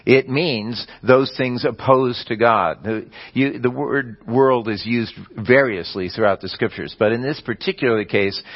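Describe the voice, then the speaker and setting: Male preacher, mid pitch, measured teaching sermon, slightly dull lo-fi capture. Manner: measured teaching delivery with occasional slips and restarts.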